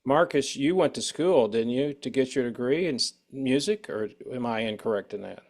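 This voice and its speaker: A man's voice: mid-range voice, lively intonation, normal speed, a little mellow. A man hosting a casual music interview, talking in a rambling, conversational way about his own experience as a musician. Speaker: show host.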